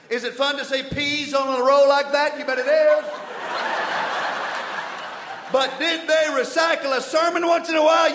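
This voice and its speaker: A man's voice: extremely high pitch, bright, forceful tone. A man playing a blustering, indignant preacher, delivering a comic mock sermon with emphatic pulpit cadence on a live stage. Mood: fired-up. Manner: emphatic pulpit delivery, swinging from muttered asides to hollering. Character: blustering, indignant old-fashioned revival preacher, male. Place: large indoor theatre stage before an audience. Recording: echoey hall, live stage, audience noise.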